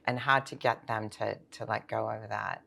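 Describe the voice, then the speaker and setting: Woman, age sixty, financial planner, gravelly fry, informal interview answers, rhetorical questions. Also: rumbling low, fairly even intonation, moderate pace, sharp tone.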